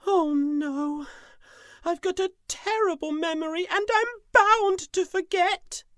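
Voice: very high voice, slow, fairly bright tone, no echo, clean studio sound, pitched above the performer's own voice, exaggerated voice. A storyteller voicing a humble, eager female tiger who fears she will forget, in a storybook tale for children. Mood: fretful.

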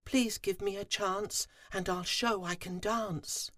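Guy doing a mopey little bee boy, high and soft, sighing everything. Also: fairly even intonation, takes it slowly, dry recording, studio quality, exaggerated voice. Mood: pleading.

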